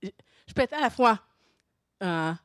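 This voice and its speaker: A middle-aged woman with a foreign accent in French, not her first language, talks through a conference presentation. Her voice sits at a middle pitch, and she talks in an animated way, thinking aloud with restarts and light laughs. The sound is dry, with no room echo. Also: slow pace. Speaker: university professor presenting at a teachers' conference.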